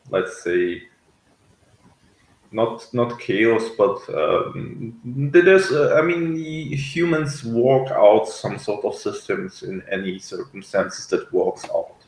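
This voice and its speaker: A man in casual discussion, speaking hesitantly and often pausing to search for words. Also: fairly low pitch, a little mellow, reverberant, low-quality recording. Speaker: podcast reviewer and discussion panelist.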